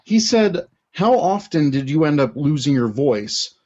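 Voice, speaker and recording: A man's voice: fairly high pitch, very animated, unhurried, darkish tone, husky. Man reading listener questions, muffled audio. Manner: brief affirming responses, reads listener questions. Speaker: podcast host. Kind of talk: casual interview talk.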